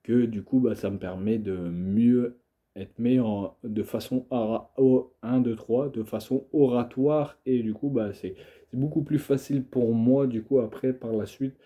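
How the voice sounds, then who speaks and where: A man's voice: mid-range voice, fairly even intonation, measured pace, narrow, tinny audio. A young man with a cold, talking casually to camera in a hesitant way, full of fillers and restarts. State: has a cold, coughing. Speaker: video creator.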